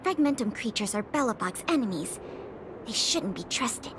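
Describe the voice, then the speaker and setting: An actress voicing a prim, cautious young female intelligence officer in an anime-style game, speaking in an earnest, precise, crisply formal way. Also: a little high, expressive, moderate pace, background noise, close to an everyday voice. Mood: cautious, serious.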